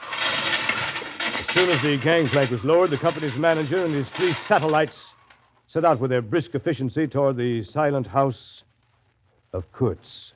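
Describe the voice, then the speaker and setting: Actor as brooding riverboat captain, noisy old radio recording. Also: male voice, on the high side, normal speed, a bit bright, muffled recording, lightly acted. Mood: brooding narration. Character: weary, sardonic male steamboat captain telling his tale. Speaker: actor, narrator. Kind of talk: 1930s radio drama acting and narration.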